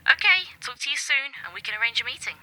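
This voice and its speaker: A woman's voice: sits high, big pitch swings, fast, bright, forceful tone, a little hiss or hum, heavily acted, rough recording. A woman voicing a guarded, grieving widow of a murder victim in an audio drama, speaking hesitantly and sometimes almost in a whisper. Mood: softening. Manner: guarded, hesitant, then opening up. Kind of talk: amateur audio drama acting.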